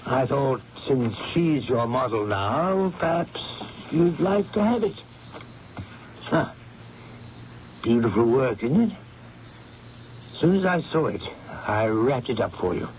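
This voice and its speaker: A man's voice: medium pitch, very animated, slow pace, long pauses, darkish tone. An actor playing a male artist friend in an old radio drama, breezy and chatty, a little evasive. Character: Max, male artist friend visiting from Paris. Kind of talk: 1970s radio drama acting. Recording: dull old radio broadcast, faint hiss.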